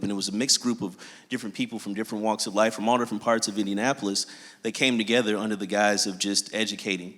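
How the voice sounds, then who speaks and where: Man, low voice, reflective, hesitant restarts, panel talk, echoey hall. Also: fairly bright tone. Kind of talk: panel discussion.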